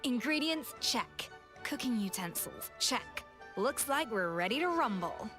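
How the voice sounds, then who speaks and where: A woman's voice: on the high side, big pitch swings, measured pace, music in the background. A woman playing a confident, exacting young chef in a video game, speaking in a composed, precise, refined manner. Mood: self-assured.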